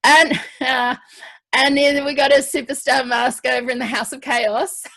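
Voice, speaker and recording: A woman hosting a casual group video chat, bantering playfully with a husky voice. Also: high voice, fairly even intonation, a little slow, bright, forceful tone. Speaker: host of an online variety show. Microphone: video call. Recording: video call audio.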